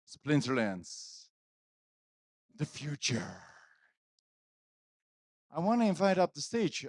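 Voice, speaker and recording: Man, conference host, unhurried pace, dry close sound, casual joking banter, stage microphone. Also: mid-range voice, darkish tone, dry recording. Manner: joking, teasing banter with audience and guest. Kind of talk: casual live stage hosting. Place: conference stage at live event. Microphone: handheld stage microphone.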